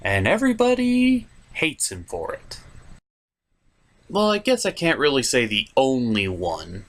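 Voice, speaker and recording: A man's voice: mid-range voice, big pitch swings, a little slow. Sarcastic man with wildly swinging intonation. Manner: sarcastic, theatrical. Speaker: reviewer host. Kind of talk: scripted comedic review narration.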